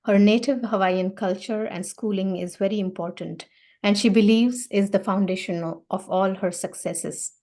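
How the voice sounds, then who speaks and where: A woman's voice: mid-range voice, fairly even intonation, moderate pace, mellow. A woman hosting a poetry night who introduces a featured poet in an earnest way, with many filler words. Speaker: event host and poet.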